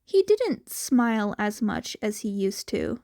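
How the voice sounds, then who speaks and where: A woman reading scripted fan fiction as an expressive story narrator. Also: mid-range voice, takes it slowly, vocal fry.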